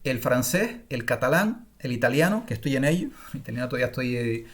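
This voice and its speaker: A middle-aged man at an ordinary middle pitch talks through his online course as if giving a live class. He thinks aloud as he goes, with frequent fillers and restarted phrases. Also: expressive. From Spain. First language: Spanish. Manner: thoughtful and unscripted, pausing with fillers and restarts. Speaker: language-learning course creator. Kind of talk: recorded online masterclass.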